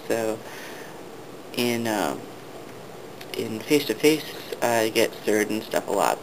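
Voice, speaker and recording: A young man talking casually to the camera, hesitant and full of ums and restarts. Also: fairly low pitch. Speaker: vlogger. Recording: steady background noise.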